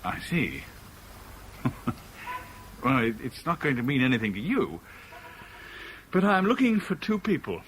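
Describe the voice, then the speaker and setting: A man's voice: mid-range voice, big pitch swings, unhurried, long pauses, fairly bright tone, a little hiss or hum, low-quality recording. An actor playing an anxious, suspicious traveller searching for a missing woman in a 1970s radio drama. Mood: polite, curious.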